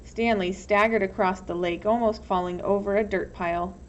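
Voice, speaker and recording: A woman's voice: medium pitch, steady tone, moderate pace. Woman reading a story, dull lo-fi recording. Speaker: teacher reading aloud. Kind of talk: storybook read-aloud narration. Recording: dull, lo-fi with some background noise.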